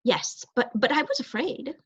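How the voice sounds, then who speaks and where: A woman's voice: high-pitched, animated, normal speed, a bit bright, husky, exaggerated voice. A woman voicing a timid, polite mother mouse with a hesitant, pleading manner in a storybook reading. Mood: anxious. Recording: roomy echo, dull muffled sound.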